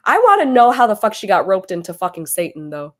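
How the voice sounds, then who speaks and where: A woman hosting a casual book club chat over a video call, losing her voice as she gets over an illness, chatty and quick to laugh. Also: medium pitch, huge pitch swings. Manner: chatty, laughs often, trails off.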